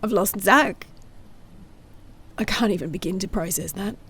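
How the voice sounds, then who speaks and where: Casting: jaded female private eye, down on her luck, medium pitch, dry confessional delivery for audio drama, played by an actress. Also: moderate pace, a bit bright, slight background noise, natural voice. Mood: bleak, dejected. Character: weary, sardonic, broke female private investigator. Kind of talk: audio drama, recorded diary monologue.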